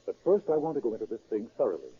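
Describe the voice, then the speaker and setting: An actor playing an earnest, disillusioned preacher turning to crime in a 1930s radio drama, speaking deliberately and precisely. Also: male voice, mid-range voice, sing-song, slightly rough. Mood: methodical, determined. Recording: muffled, scratchy 1930s radio recording.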